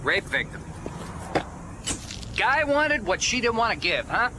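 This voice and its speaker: A callous American police photographer in a radio play. His voice sits high for a man and he chats with casual cynicism. Traffic noise is clear behind him. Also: very animated, slow pace, exaggerated voice. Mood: cynical. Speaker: actor. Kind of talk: radio drama acting.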